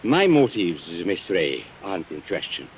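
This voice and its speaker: An actor playing a suave, cold-blooded blackmailer in old radio style, mocking and smoothly formal in his delivery. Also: low-pitched, big pitch swings, measured pace, muffled recording. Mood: mocking, accusing. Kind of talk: 1940s radio acting. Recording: hissy old radio recording, rain sounds.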